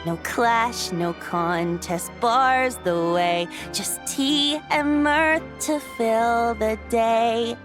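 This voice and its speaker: Boyish bard, high light voice, unhurried, lilting, carefree, theatrical game character, music behind. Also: female voice. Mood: poetic, lyrical. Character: carefree, playful young male bard, secretly a wind god. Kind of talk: theatrical video game acting.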